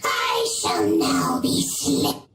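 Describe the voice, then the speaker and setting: An actor playing a sneering, cackling villainous mage creature in a video game, theatrical and gloating. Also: male voice, low voice, very slow, fairly bright tone, echoey room, faint music behind, heavily acted. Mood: gloating, thwarted.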